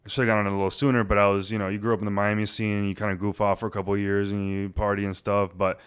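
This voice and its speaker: A man in his twenties, born in Cuba, talking casually as an interview guest with a relaxed, rambling manner and many fillers. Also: on the low side, very fast, clean tone. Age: 20s. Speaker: guest, realtor.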